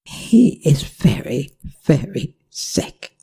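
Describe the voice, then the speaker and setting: A woman playing a worried, gentle older werewolf mother in an ASMR roleplay, speaking tenderly and dramatically to the listener in a gravelly, breathy voice. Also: low voice, very animated, drawn-out words, heavily acted. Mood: gentle, anxious. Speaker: voice actor.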